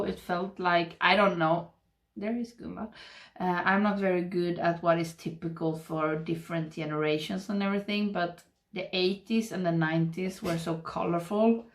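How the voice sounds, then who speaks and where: A woman in her thirties with a Swedish accent, chatting and murmuring softly to the camera while doing her makeup at home. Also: fairly low pitch. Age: 30s. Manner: soft, rambling, murmuring while applying makeup. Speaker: beauty vlogger. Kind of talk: casual get-ready-with-me chat. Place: home makeup room, indoors. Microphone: webcam framing at desk.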